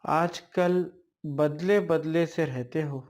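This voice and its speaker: Concerned, questioning wife as a male storyteller reads her, slow delivery, horror tale. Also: fairly high pitch, fairly even intonation, a little mellow. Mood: worried, scolding. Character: worried wife questioning her changed husband.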